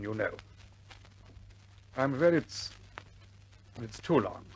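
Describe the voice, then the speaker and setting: An actor playing a courteous but firm senior RAF commander in a 1950s British war film, formal and measured with pauses. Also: male voice, on the high side, gravelly, husky, heavily acted, rough recording. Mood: regretful, firm.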